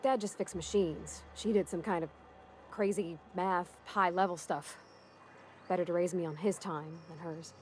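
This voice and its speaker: A young woman acting an eager, awkward ship mechanic in a video game, with a folksy, halting, earnest manner. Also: lowish voice, fairly even intonation, a little mellow, a little hiss or hum, close to an everyday voice. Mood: reflective, frank. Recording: music behind the voice.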